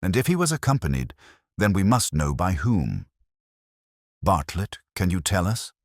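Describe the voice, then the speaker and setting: A man plays a keen Victorian detective from an audiobook mystery. His voice is extremely deep and moves expressively, with a formal, deliberate authority. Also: sing-song, no room sound, clean studio sound, full character voice. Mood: grave, probing. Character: keen, commanding Victorian male detective, calm and incisive.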